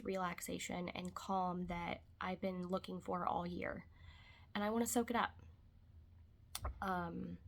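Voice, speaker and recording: A young adult woman vlogging from her couch at home, congested, rambling in casual chat. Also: medium pitch. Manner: rambling, chatty, trailing off into quiet asides.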